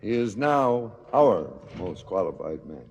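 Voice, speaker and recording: A man's voice: low-pitched, sing-song, measured pace, creaky, a little hiss or hum, exaggerated voice. An actor playing a calculating corrupt policeman in a stylised radio drama, declamatory and coolly menacing, with clipped retorts. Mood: cold, controlled. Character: smug, calculating corrupt policeman muscling in on racket.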